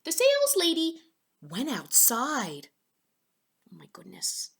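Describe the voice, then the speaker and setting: A woman reading a storybook aloud to young children, expressively, pausing for asides and questions to her listeners. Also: high voice, huge pitch swings, creaky, fairly bright tone, breathy edge.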